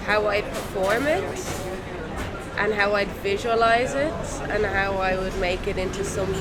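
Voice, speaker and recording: A woman's voice: mid-range voice, heavy background noise. A young Irish woman, a musician, talking casually in an interview at an outdoor café terrace, loose and chatty. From Ireland. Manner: loose and chatty, full of "like" and "you know".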